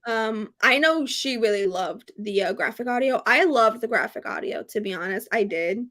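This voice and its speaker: A woman hosting a book readalong, chatting casually and excitedly, with an emphatic, gushing way of repeating herself. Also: a little high, normal speed. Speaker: host of a book readalong live stream.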